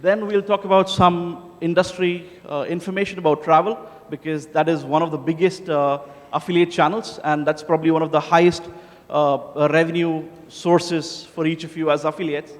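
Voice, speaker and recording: Man from India, slightly high voice, giving a loose, joking conference talk with lots of "uh"s; hall echo. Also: fairly even intonation, normal speed. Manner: casual, joking, many filler "uh"s. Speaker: conference speaker. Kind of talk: conference presentation. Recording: hall reverb.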